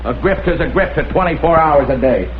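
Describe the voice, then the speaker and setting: A man's voice: a little high, animated, brisk, natural voice. An American man playing a slangy, cajoling small-time crook in a 1950s TV crime drama. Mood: pleading, aggrieved. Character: wheedling small-time male crook pleading for a friend. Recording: muffled vintage TV soundtrack, faint noise.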